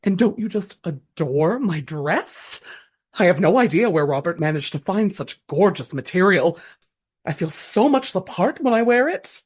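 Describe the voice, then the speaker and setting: An actress in a radio play voicing a gushing, melodramatic amateur stage actress of the Regency era, speaking with theatrical earnestness. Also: female voice, fairly low pitch, lively intonation, measured pace. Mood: gushing, proud. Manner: theatrical, breathy earnestness, dramatic flourishes.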